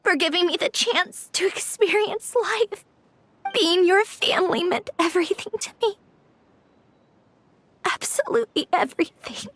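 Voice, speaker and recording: A woman's voice: very high-pitched, unhurried, long pauses, fairly bright tone. A woman voicing a reserved, proud female automaton in a video game, speaking in a curt, dry, guarded way. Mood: grieving. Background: game music.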